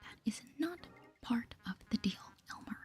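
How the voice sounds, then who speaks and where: A woman's voice: a little high, lively intonation, normal speed, a little mellow, faint music behind, lightly acted. A woman voicing a demanding, irritable video game woman in a whispery, accusatory way. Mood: irritated, demanding. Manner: curt, accusatory. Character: demanding, irritable woman confronting a town official.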